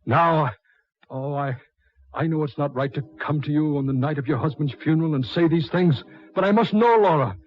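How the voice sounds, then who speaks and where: An actor playing an ardent, insistent suitor, overwrought and melodramatic in 1940s radio style. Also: male voice, a little high, brisk, close to an everyday voice. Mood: impassioned pleading. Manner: impassioned, overwrought, forceful pleading. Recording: muffled 1940s radio recording.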